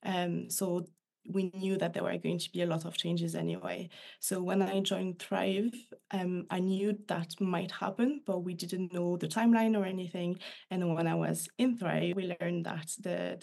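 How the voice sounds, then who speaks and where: A woman with a French accent speaks as a podcast guest, her voice low and gravelly with plenty of vocal fry. She talks in a thoughtful, reflective way, keeping her pitch fairly flat and even. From France. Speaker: guest, new coach. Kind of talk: podcast interview.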